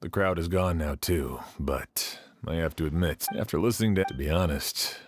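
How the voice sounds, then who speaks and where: A man playing an earnest, puzzled officer in an anime game, explaining himself in a measured, candid way. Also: deep voice, slight background noise, lightly acted. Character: earnest, puzzled male officer in charge of men. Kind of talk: anime game character acting.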